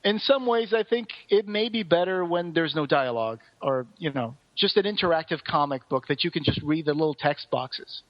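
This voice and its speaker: A man's voice: on the high side, expressive, normal speed, hardly any pauses, dull audio. A man co-hosting a podcast, talking through a review in a casual, rambling, explanatory way. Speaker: podcast co-host.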